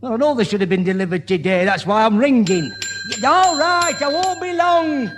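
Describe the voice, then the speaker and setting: A man's voice: very high-pitched, lively intonation, normal speed, long reverb tail, music in the background. A man playing a flustered, easily riled pub landlord with a northern English accent in a radio sitcom. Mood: harried. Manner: flustered, blustering. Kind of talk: old-time radio sitcom acting.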